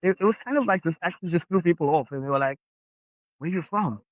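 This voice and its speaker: A man's voice: sits high, animated, fast, no room sound. Man, Johannesburg South African accent, earnest storyteller, narrow phone-like sound. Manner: earnest, reflective, acts out dialogue. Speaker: podcast guest. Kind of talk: personal storytelling.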